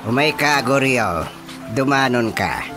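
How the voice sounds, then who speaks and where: A man's voice: medium pitch, animated, a little slow, lightly acted. A man with an Ilocano accent playing a jolly older village man welcoming a guest in a radio drama. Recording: radio drama with music underneath.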